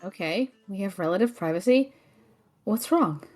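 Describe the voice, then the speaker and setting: A woman acts out a sarcastic female adventurer in a fantasy audio drama. Her mid-pitched voice moves around a lot as she delivers dry, exasperated remarks. Music sits behind the dialogue. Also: lively intonation, normal speed, close to an everyday voice. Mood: concerned.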